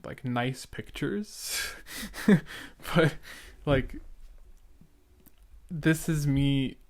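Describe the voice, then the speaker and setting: A young man giving casual, earnest reaction commentary as a podcast host, stumbling and repeating words now and then. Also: fairly high pitch. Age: young adult. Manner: halting, stuttering, repeats words.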